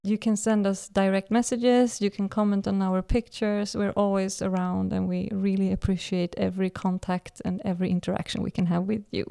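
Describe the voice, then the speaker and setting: A woman's voice: mid-range voice, flat delivery, moderate pace, gravelly, few pauses, warm tone, clean tone. Swedish-accented woman in her forties hosting. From Sweden. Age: 40s. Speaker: podcast host. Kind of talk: casual podcast chat.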